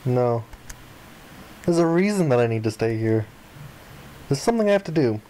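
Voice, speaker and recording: A man voicing a flippant, grandiose young amnesiac castaway, reading visual novel dialogue aloud in an expressive way. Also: mid-range voice, normal speed, a little hiss or hum, pitched below the performer's own voice, natural voice, low-quality recording. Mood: dramatic, insistent. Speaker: video game player reading aloud. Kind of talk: dramatic read-aloud of visual novel text.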